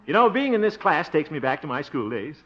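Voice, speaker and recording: A man's voice: fairly high pitch, sing-song, fairly quick. An actor playing a fussy, jovial middle-aged American man, a bumbling guardian with a chuckling, overeager manner, in 1950s radio situation comedy style. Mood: playful. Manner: chuckling, overeager, blustery showman. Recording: muffled old radio recording.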